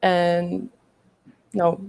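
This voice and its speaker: Young woman from Greece, college athlete, hesitant and laughing, mellow voice, dry close sound. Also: fairly low pitch, completely flat delivery, extremely slow, gravelly, smooth, no echo, rough recording. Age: young adult. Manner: hesitant, many ums, laughs often. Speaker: college volleyball player. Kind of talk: casual interview answers.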